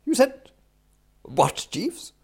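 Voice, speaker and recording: Man acting an excitable upper-class English young gentleman. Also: mid-range voice, unhurried, creaky. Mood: surprised. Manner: blustering, exclamatory, slangy. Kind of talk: acted audiobook dialogue.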